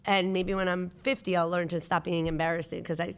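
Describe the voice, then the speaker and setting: A woman's voice: on the low side, flat delivery, fairly quick, clean tone. A woman chatting candidly as a podcast guest, emphatic and repeating phrases for effect, close to a studio microphone. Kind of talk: casual candid panel chat. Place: podcast studio with brick walls.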